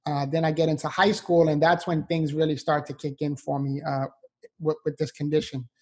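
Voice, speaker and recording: Upbeat, mellow-voiced New man. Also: on the high side, flat delivery, brisk. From New York, USA. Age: 40s. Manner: earnest, upbeat, with light laughs and fillers. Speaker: motivational speaker guest. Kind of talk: interview storytelling.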